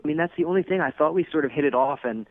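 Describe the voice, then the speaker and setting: A man talks quickly as a radio call-in guest, recounting things casually. He is earnest and self-conscious, hedging as he goes. The sound is very dry, with a narrow, slightly dull tone. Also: fairly high pitch, no room sound. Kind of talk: casual anecdotal chat.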